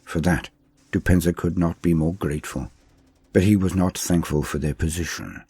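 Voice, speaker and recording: A man's voice: low-pitched, steady tone, normal speed, dark tone, slightly rough. A man giving a solemn, theatrical dramatic reading of a science fiction war story as its narrator.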